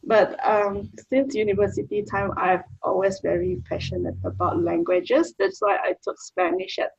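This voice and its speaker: A woman's voice: mid-range voice, lively intonation, moderate pace, creaky, muffled recording, slight background noise. A young woman from Malaysia with a Malaysian accent, Mandarin her first language, chatting plainly as an interview guest about her work with children. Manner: plain, chatty, with short restarts. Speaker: guest, early childhood educator. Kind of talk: casual interview answers.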